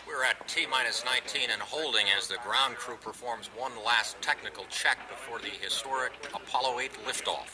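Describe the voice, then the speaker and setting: A man's voice: mid-range voice, moderate pace, hardly any pauses, natural voice. A man giving 1960s television commentary as a launch announcer. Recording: distant TV broadcast with crowd.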